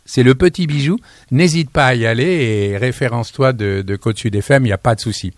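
A man's voice: mid-range voice, fast talker, no echo. A man hosting a radio show from a studio, chatty and exclamatory, wandering into asides as he presents and interviews his guests. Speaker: radio host. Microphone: studio broadcast microphone.

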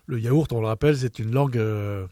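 A male radio host asking a guest measured questions in an interview. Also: medium pitch, warm tone, no echo. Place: radio studio.

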